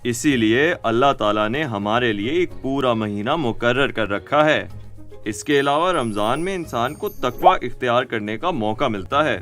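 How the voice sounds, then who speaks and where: A man voicing a kindly, encouraging cartoon teacher who explains things to young children in an animated way. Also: mid-range voice, moderate pace, lightly acted. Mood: explaining. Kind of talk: children's educational cartoon dialogue. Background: music.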